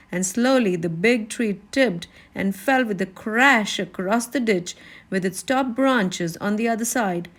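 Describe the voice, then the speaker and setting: A woman narrating a children's novel as a read-aloud storyteller. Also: mid-range voice. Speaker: storybook reader.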